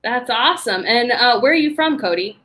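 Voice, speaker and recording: A woman's voice: fairly high pitch, expressive, fairly quick, sharp tone. Bubbly woman hosting on a video call. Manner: enthusiastic, hesitant with fillers. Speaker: host, teacher. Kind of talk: casual interview hosting.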